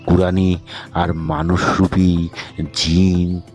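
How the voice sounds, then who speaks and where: Man, cartoon story narrator, very deep voice, slow, steady. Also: soft background music.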